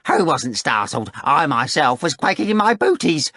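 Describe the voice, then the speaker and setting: A man voicing a fawning, fussy male retainer who talks in an excitable, whining, gushing way. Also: a little high, normal speed, no echo, studio quality, voice pushed up, exaggerated voice. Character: fawning, fussy male retinue member, wheedling.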